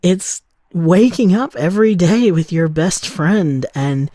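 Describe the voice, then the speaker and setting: An actor playing a tender, contented bar owner in love, speaking reflectively in an audio drama voicemail. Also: male voice, sits high, expressive, slow pace, no echo, clean studio sound. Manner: soft-hearted, reflective, conversational.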